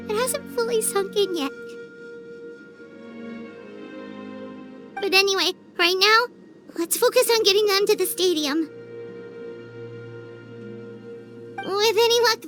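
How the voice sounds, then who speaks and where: A woman voicing a small, childlike female fairy companion in a video game, squeaky, earnest and emphatic. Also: pushed and bright. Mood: subdued, hopeful.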